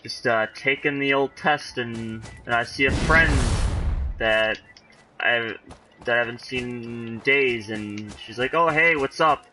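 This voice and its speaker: A man's voice: medium pitch, over music. A man chatting casually on a game livestream, rambling and often breaking off as he goes. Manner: halting, rambling storyteller who often breaks off. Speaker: game streamer. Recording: home stream mic with clear background noise.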